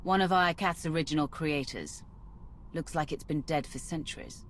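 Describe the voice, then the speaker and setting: An actress playing a ruthless, sardonic, battle-hardened warrior commander in a video game cutscene, with a dry, menacing delivery. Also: female voice, low voice, normal speed, slight background noise, close to an everyday voice. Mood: dark amusement.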